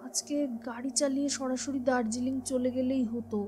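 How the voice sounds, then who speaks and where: A woman's voice: sits high, steady tone, moderate pace, noisy background, natural voice. A woman voicing an uneasy young female traveller in an audio horror story, apprehensive and pleading.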